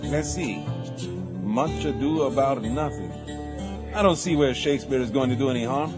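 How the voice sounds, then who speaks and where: A man's voice: medium pitch, moderate pace, close to an everyday voice. A man playing a brusque, no-nonsense jail guard in an audio drama, curt and bossy. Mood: easygoing authority. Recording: prominent music behind.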